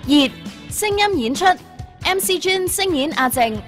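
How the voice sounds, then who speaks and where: A woman's voice: very high voice, lively intonation, unhurried, faint music behind. A woman announcing the episode's cast credits.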